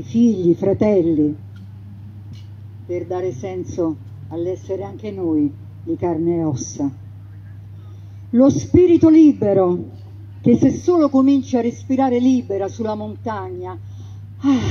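A woman in her middle pitch range delivers theatrical narration with emphasis and declamation. The audio is dull and lo-fi, and faint noise sits underneath. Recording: dull lo-fi radio broadcast.

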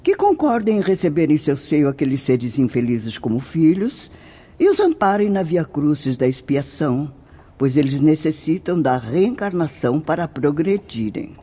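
A man's voice: on the high side, very animated, normal speed, dark tone, slightly rough. An actor with a Brazilian accent playing a solemn, fatherly spirit mentor who lectures formally and emphatically in a radio drama. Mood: grave, emphatic. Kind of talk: radio drama acting, didactic.

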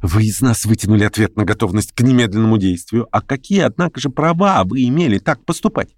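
A man's voice: on the low side, lively intonation, fast talker, a little mellow, no echo, studio quality, close to an everyday voice. A man voicing a prickly, suspicious man in an audiobook, protesting and quibbling bluntly. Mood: challenging. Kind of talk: acted audiobook dialogue.

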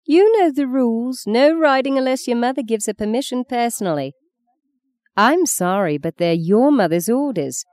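A woman voicing a sharp-tongued, strict grandmother in an audiobook, with a tart, commanding way of talking. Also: a little high, expressive, moderate pace, no room sound, studio quality, pitched above the performer's own voice, close to an everyday voice. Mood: stern. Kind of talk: audiobook character reading.

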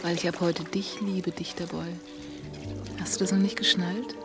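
An actress playing a brash young heiress who talks bluntly in slang. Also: female voice, on the low side, level tone, normal speed, loud music behind the voice, lightly acted. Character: brash young woman Amelie, publishing heiress. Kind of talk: radio drama acting.

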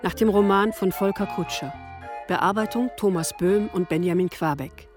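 A woman's voice: mid-range voice, normal speed. A woman reading scripted credits as the announcer of a radio drama series. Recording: music behind the voice.

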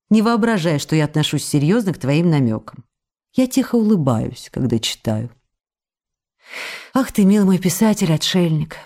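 Tender, mellow-voiced lovelorn young actress. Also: female voice, on the low side. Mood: reproachful, teasing. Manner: tender, intimate, imploring. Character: young actress writing passionate, pleading love letters. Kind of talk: radio drama letter reading.

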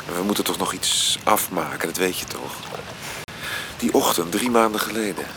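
A man playing a passionate, rationalising lover in a radio drama, with a theatrical, expressive delivery. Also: low-pitched, moderate pace, noisy background. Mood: seductive. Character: passionate, agitated male lover, romantic and rationalising. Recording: loud background ambience.

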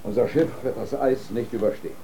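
Expedition boss played by a man, dark mellow tone, deliberate quiet authority, faint background noise, radio drama. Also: creaky, lightly acted, rough recording. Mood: grave. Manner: low-key, deliberate, commanding. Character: grave authoritative male expedition leader.

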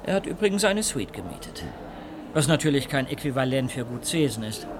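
An actor playing an aloof older conductor, measured and haughty. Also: male voice, mid-range voice, very animated, noisy background, close to an everyday voice. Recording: music playing underneath.

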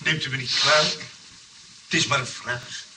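An actor playing a sly, polite engineer in a radio play, coaxing and placating with persistent mock innocence. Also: male voice, medium pitch, normal speed, close to an everyday voice. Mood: mock-innocent. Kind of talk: Dutch radio play acting. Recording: distant mic, clearly noisy old recording.

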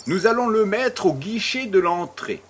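A man acting as a pompous, jovial baron in a French audio drama, speaking in a ceremonious, deliberate way. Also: sits high, expressive, a bit bright, slight background noise. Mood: officious, approving. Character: pompous, jovial male baron hosting a charity fête.

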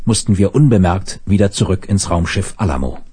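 An actor playing a grave, authoritative space leader and narrator in a German radio drama, in standard German. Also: male voice, deep voice, expressive, fairly quick, dark tone, husky. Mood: grave, narrating. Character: commanding space administrator hero, grave and authoritative. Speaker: lead character and first-person narrator.

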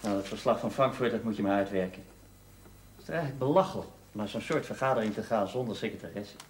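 An actor playing a brisk, possessive boss in a naturalistic television drama, with a direct, businesslike way of talking. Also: male voice, lowish voice, a little hiss or hum, lightly acted.

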